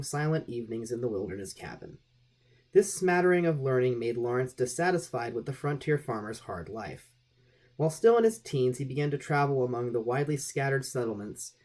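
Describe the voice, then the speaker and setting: Male audiobook reader for vintage true-mystery articles, medium pitch, very varied melody. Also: a little mellow. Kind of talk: scripted narration of a vintage magazine article.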